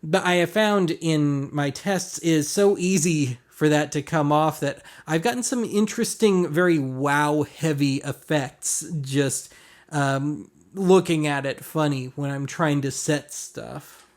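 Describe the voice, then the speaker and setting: A man with a somewhat higher-pitched voice walks through equipment in a casual, explanatory way. His delivery is colloquial and wry, with self-deprecating asides along the way. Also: measured pace. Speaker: host of a vintage audio gear review show. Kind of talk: casual product walkthrough.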